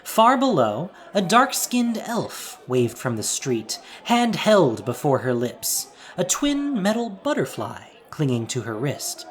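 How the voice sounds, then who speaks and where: Man narrating with very lively pitch. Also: takes it slowly, hardly any pauses. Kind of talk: dramatic scripted story narration.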